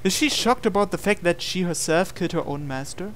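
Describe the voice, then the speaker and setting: A man with a somewhat high voice narrates a visual novel playthrough. He reads the story text dramatically and adds his own commentary as host. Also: expressive, a little fast, fairly bright tone, slight background noise. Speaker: host reading a game aloud.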